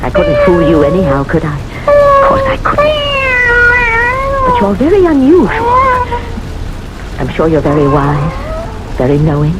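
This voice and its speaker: An actress playing a timid, passive older woman who speaks haltingly and anxiously, with flashes of defiance, in an old-time radio drama. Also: fairly low pitch, very noisy, full character voice. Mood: uneasy, brooding. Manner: hesitant, halting, repeating her words.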